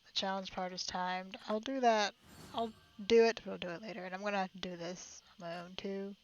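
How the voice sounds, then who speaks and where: A woman's voice: mid-range voice, steady tone, a little fast, highs cut off. A woman chatting casually and a little giggly as she livestreams a video game. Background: game music.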